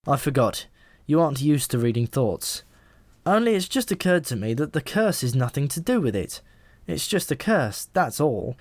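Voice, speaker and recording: A man's voice: mid-range voice, very animated, moderate pace, no echo. A man voicing a talking pigeon in an audiobook, a knowing and easily exasperated explainer with a wry, emphatic manner. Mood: chatty, explaining.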